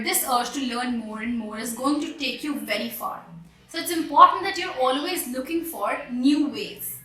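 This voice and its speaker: A woman's voice: high voice, sharp tone, roomy sound, distant mic, rough recording. A woman with an Hindi-speaking Indian accent giving earnest, encouraging English lessons to viewers. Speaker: English teacher.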